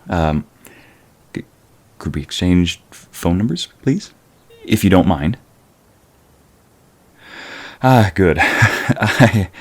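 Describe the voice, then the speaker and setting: A man acts out a bashful young concert musician for an ASMR romance. The voice is very low and swings widely in pitch. He is courteous and smitten, with a formal, halting politeness. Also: full character voice. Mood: shy, hopeful. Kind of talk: ASMR romantic roleplay audio drama.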